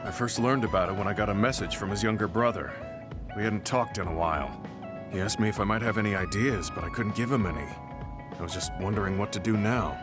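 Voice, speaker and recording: Man acting a deep-voiced, reserved veteran soldier. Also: close to an everyday voice. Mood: somber, troubled. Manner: measured, formal, serious. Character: reserved, old-fashioned male veteran soldier, stoic and thoughtful. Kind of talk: video game voice acting. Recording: background music over dialogue.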